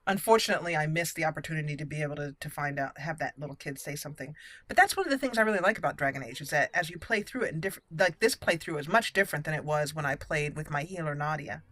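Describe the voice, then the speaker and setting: A woman's voice: low voice, fast talker, fairly bright tone, dry recording. A woman hosting a game playthrough, chatting casually and playfully as she thinks aloud. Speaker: gaming channel host.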